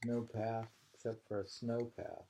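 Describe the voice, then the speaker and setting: A man reading poetry aloud and commenting on it informally, in a halting, musing way as he thinks aloud. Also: lowish voice, completely flat delivery, mellow, a little hiss or hum.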